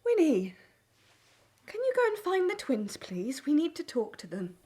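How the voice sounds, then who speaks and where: A woman's voice: sits high, huge pitch swings, measured pace, mellow, heavily acted. A woman playing a bitter, strict farm wife and mother in a wartime audio play. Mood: stern.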